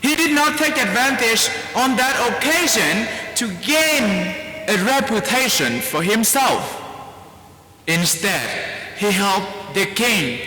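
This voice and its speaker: A priest with a Vietnamese accent delivers a homily in a large church, his voice high and bright. He speaks slowly and deliberately, pausing to spell out words. Long reverb from the hall trails behind every phrase. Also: very animated.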